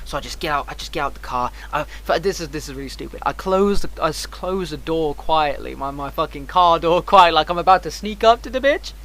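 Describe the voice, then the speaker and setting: Man, slightly high pitch, sharp bright tone, casual streamer storytelling, emphatic, slangy, foul-mouthed. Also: lively intonation, brisk, hardly any pauses, a little hiss or hum.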